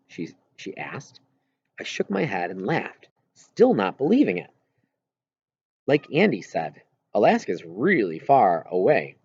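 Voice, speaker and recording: A man with a husky voice reads a first-person novel aloud expressively, with halting pauses mid-phrase. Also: lowish voice, darkish tone, dry recording, muffled recording. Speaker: audiobook reader.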